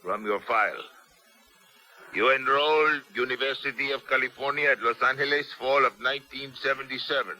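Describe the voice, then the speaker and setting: An actor playing a cold, commanding secret-police interrogator in a radio drama, speaking deliberately and with threat. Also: male voice, mid-range voice, bright, forceful tone, poor audio. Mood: menacing. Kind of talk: 1970s radio drama acting.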